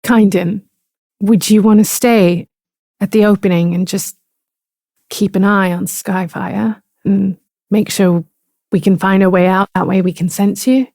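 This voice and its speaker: A woman's voice: mid-range voice, fairly even intonation, measured pace, a little mellow, no room sound. Earnest mother leading a rescue, dry sound. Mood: measured resolve. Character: caring, determined mother leading a rescue team.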